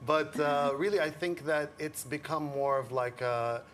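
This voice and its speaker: A man whose first language is Arabic, a fashion designer guest on a morning TV interview, relaxed and chatty and quick to laugh. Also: a little high. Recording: TV studio with faint background noise.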